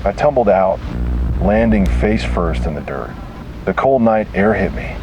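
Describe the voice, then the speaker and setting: Deep-voiced man narrating horror. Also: animated, unhurried, noisy background. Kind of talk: scripted first-person horror narration.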